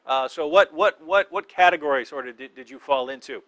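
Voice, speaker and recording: Male talk-show host, playful and halting, bright tone, dry close sound. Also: a little high, no room sound. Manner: joking, halting, restarts often.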